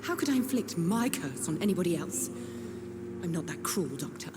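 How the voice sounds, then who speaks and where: A woman's voice: on the low side, sing-song, moderate pace. An actress playing a melancholy woman who believes she is a vampire in a gothic game, formal and theatrical. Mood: wistful, brooding. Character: melancholy female patient convinced she is a vampire. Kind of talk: dramatic video game dialogue. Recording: clear background ambient noise.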